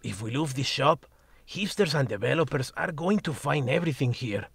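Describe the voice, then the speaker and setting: A man voicing a sardonic magical talking cat who mentors the family, blunt and lecturing, husky in tone. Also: mid-range voice, lively intonation, normal speed, no echo. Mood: wry. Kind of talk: audio drama acting.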